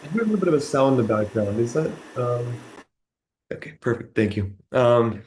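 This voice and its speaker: A young man from San Diego hosts an online meditation class over a video call. He talks in a relaxed, friendly way and fills his pauses with uh and um. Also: medium pitch, slight background noise. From San Diego, California. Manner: relaxed, friendly, with many fillers like uh and um. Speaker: host of an online meditation program. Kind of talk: casual spoken introduction to a meditation session.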